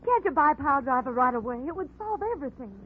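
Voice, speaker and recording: A woman's voice: high voice, lively intonation, fast. An actress playing an earnest young woman in a dramatic 1940s radio play. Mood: anxious, pleading. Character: earnest pleading young woman, anxious for her father. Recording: noisy, tinny 1940s radio recording.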